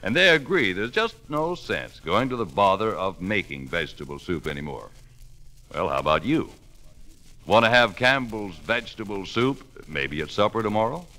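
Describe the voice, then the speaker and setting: A man's voice: on the low side, bright, forceful tone. A male announcer reading scripted commercial copy in a folksy, salesmanlike 1940s radio style. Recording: vintage 1940s radio broadcast.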